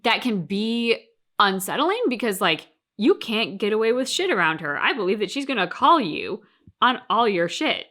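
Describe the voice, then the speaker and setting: Bright-voiced woman chatting analytically. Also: mid-range voice, expressive, normal speed. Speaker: reaction channel co-host. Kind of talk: casual reaction discussion.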